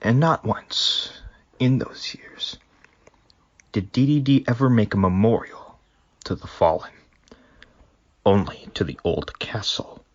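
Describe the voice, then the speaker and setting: Deep, husky man reading deadpan. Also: slow pace, long pauses, in a whisper, lo-fi sound. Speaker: fanfic reader. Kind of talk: deadpan storybook narration.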